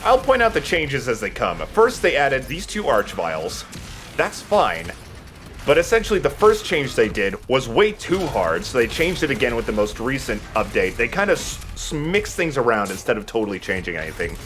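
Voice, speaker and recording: A man's voice: mid-range voice, very animated, moderate pace, fairly bright tone. A man giving casual commentary while he plays a video game, speaking as the host of a let's play. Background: game music.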